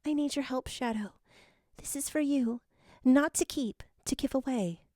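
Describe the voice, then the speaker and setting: Woman as a high, gentle dream spirit. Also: a little slow, no echo, studio quality. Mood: pleading. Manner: soft, tender. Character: gentle, caring female spirit guide from dreams.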